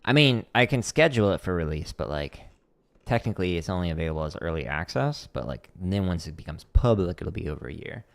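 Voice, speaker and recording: A man's voice: low voice, animated, quick pace, slight background noise. A man casually chatting with his livestream viewers between drum practice runs, loose and full of "like" fillers, counting tempos aloud. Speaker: drum teacher streaming practice. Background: drumming on a practice pad.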